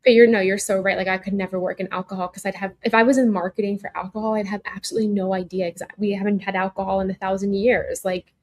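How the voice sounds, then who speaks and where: A woman's voice: medium pitch, little pitch movement, quick pace, few pauses. A woman co-hosting a podcast in casual chat, reacting bluntly and informally and posing hypothetical questions. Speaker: podcast co-host.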